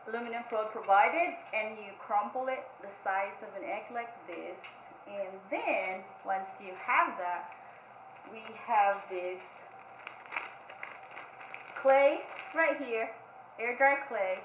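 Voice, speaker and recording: A woman hosting a children's library storytime, explaining a craft in simple, repeated phrases and speaking directly to young children. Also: fairly high pitch. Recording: roomy echo, faint background noise.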